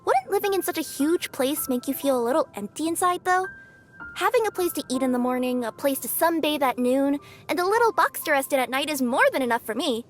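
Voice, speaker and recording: An actress voicing a cheerful young female cat-yokai courier for a video game, bubbly and bashful, quick to fluster. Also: high voice, lively intonation, faint music behind. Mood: chatty. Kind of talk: video game character voice lines.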